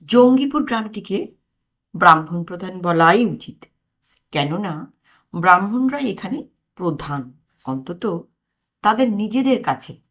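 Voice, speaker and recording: A woman narrates a humorous literary tale. Her delivery is unhurried and very animated, with pitch that moves freely as she tells the story. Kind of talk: expressive scripted narration of a comic story.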